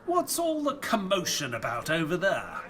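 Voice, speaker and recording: An earnest doctor-companion, voiced by a man narrates an audio drama in a slightly raised register. He sounds curious and engaged, and his pitch moves freely as he tells the tale and poses questions. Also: huge pitch swings, normal speed, fairly bright tone.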